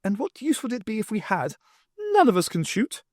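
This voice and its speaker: A man's voice: high-pitched, big pitch swings, fairly quick, a little mellow, no echo, studio quality, voice pushed up. A man voicing a matter-of-fact, resigned woman professor in an audiobook.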